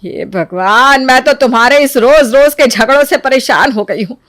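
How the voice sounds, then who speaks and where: Scolding older mother-in-law , played by a woman, fairly high voice, weary but in charge, dry cartoon dialogue. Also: moderate pace, a bit bright, no echo. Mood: weary, exasperated. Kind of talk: animated family story dialogue.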